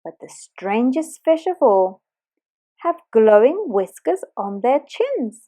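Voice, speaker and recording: A woman reads a rhyming children's picture book aloud with a sing-song lilt. Her voice is fairly high and swoops widely, and she takes her time over each line. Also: very animated, slow, warm tone. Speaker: storybook reader.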